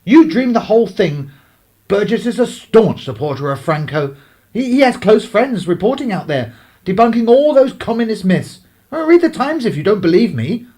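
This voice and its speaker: A man's voice: high-pitched, sing-song, moderate pace, a little mellow. An actor playing a stern, domineering middle-aged English clergyman father in a radio drama, pompous and scornful. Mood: exasperated.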